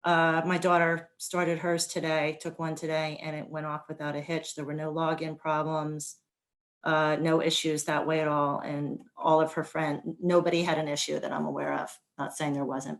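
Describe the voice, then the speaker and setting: Woman, very low and flat, informal committee member on a video call. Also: normal speed. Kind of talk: casual update at an online meeting.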